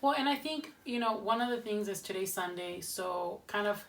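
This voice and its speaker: A woman's voice: medium pitch, lively intonation, normal speed, fairly bright tone. A woman in casual family conversation, explaining things plainly like a home cook talking to her household. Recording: distant mic, faint background noise.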